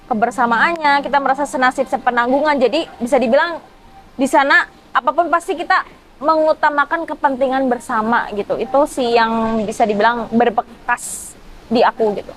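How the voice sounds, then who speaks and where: A woman's voice: sits high, steady tone, moderate pace, a bit bright, a little hiss or hum, rough recording. A young woman from Java, talking colloquially as a student guest on a talk show. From Java, Indonesia. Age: young adult. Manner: chatty, colloquial. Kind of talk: casual talk show chat.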